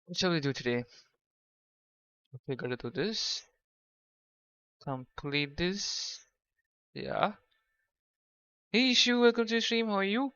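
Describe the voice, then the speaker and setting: A man's voice: high-pitched, slow, pauses often, pushed and bright, smooth, dry recording. A man hosting a casual gaming livestream, chatting with viewers in a half-distracted way. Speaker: game streamer, host. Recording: dull, lo-fi microphone sound.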